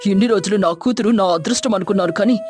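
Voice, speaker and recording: Ashamed storybook father, done by a female narrator: fast-spoken, bitter, distressed, faint music underneath. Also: mid-range voice, a little mellow, close to an everyday voice. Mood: bitter anger. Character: angry, grieving village father, ashamed of daughter.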